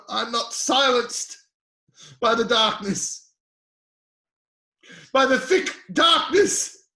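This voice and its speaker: A man playing an anguished, devout sufferer, bitter yet defiant, in an impassioned, halting dramatic scripture reading. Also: extremely high pitch, heavily acted. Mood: fearful, defiant. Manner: impassioned, halting delivery swinging from cries to hushed lines. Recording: echoey room.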